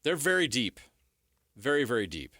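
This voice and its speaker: A man's voice: mid-range voice, very animated, normal speed, bright, forceful tone, dry recording, clean studio sound. A man co-hosting sports radio, giving opinionated analysis and emphatically repeating his points. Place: radio studio. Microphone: close studio microphone.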